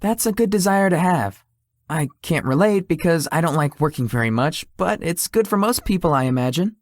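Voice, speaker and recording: A man playing a lazy, sweet-toothed young tech company founder in a visual novel, speaking in an awkward, low-key, hesitant way. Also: animated, normal speed, no room sound, clean studio sound, natural voice. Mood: laid-back. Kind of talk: visual novel character dialogue.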